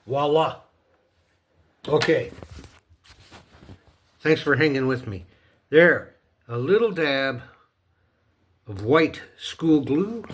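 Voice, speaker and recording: A man's voice: a little high, lively intonation, drawn-out words, pauses often. A man casually narrating a hands-on DIY repair, talking himself through each step in a folksy, playful way. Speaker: host of a DIY repair video.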